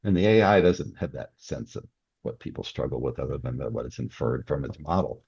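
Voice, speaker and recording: Very deep, husky man in conversation. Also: expressive, a little fast, a little mellow, no echo, muffled recording. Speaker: podcast guest, author; security company worker. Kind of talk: casual explanatory conversation.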